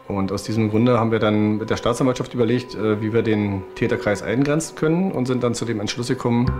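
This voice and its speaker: A man, a police investigator, speaking in a deliberate, official manner in an interview clip. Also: fairly low pitch.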